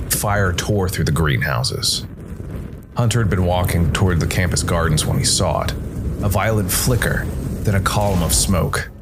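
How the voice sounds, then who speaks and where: A man narrating a scripted dramatic story in a measured way, with pauses for effect. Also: sits low. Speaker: narrator, solo storytelling player.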